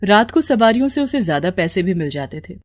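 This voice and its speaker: A woman's voice: medium pitch, big pitch swings, fairly quick. A woman narrating a scripted moral story.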